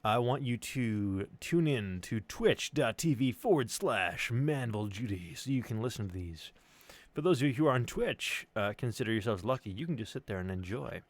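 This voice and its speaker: A man's voice: medium pitch, animated, normal speed, dry recording. A man co-hosting a live stream with casual banter, wry, teasing and sweary. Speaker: stream co-host.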